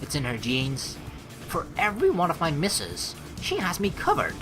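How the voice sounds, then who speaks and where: A woman streamer reading a game's narration aloud, mixed with casual, expressive commentary. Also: low voice, normal speed, over music. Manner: expressive, animated asides. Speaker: let's play streamer.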